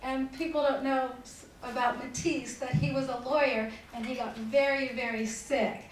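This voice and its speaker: An older woman with a very high voice hosts a club meeting in a chatty, informal way. She stands back from the microphone, so her voice sounds distant in the hall. The room's echo is strong. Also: fairly even intonation, normal speed, slight background noise. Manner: chatty, informal thanks with laughs. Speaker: meeting host. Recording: echoey hall, voice off-mic.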